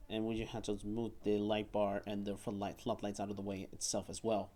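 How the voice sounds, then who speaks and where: A man reviewing and demonstrating a toy at a table indoors, talking haltingly and often repeating words and phrases. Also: on the low side, completely flat delivery, dark tone.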